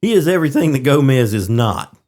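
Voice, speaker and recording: Male podcast co-host, animated intonation, casual and halting delivery. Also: mid-range voice. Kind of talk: casual chat.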